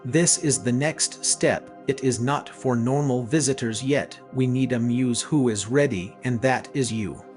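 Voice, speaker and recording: A man playing a calm, persuasive, self-justifying head of an experimental gallery, speaking in a measured, clinical way in a narrated story. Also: mid-range voice, lightly acted. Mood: measured persuasion. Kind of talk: dramatized story dialogue. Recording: music behind the voice.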